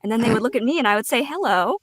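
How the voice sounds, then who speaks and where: A woman voice actor talking casually on a panel, halting as she searches for words, with some self-deprecating humor. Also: on the high side, expressive, slightly rough, no echo.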